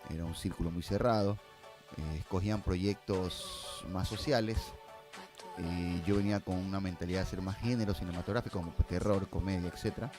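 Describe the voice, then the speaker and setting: An Ecuadorian man speaks in a fairly low voice as a guest in an interview. His way of talking is informal and slangy, the talk of a filmmaker in conversation. Music is clearly audible behind him. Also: steady tone, brisk.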